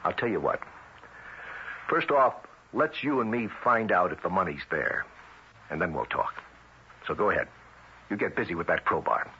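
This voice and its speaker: A muffled old radio drama recording with faint hiss carries a tough male federal agent. His voice is low and firm-edged, and he talks in clipped street idiom with a grim, vengeful temper. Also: animated, moderate pace, long pauses, bright, forceful tone, full character voice. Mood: brisk, commanding. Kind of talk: 1970s radio drama acting.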